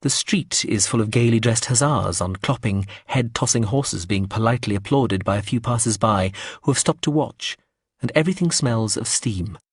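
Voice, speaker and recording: A man narrating a first-person story aloud in a measured, wry way. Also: fairly low pitch, breathy edge, no room sound, studio quality.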